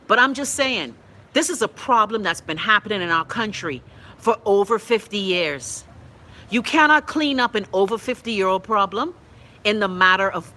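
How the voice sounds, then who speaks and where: A woman's voice: on the high side, a little hiss or hum. A middle-aged Bahamian woman with a Bahamian accent, hosting an online show, reading viewer comments and answering them bluntly with swearing and coughing fits. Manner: animated and blunt, swears freely, reads comments aloud. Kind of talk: casual live-stream commentary.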